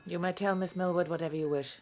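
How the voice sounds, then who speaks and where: An actress playing a guarded Victorian widow who speaks formally and curtly in a radio play. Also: female voice, on the low side, steady tone, quick pace, darkish tone, faint music behind, close to an everyday voice. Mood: cold, guarded. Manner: formal, curt, distant. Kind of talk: radio drama acting.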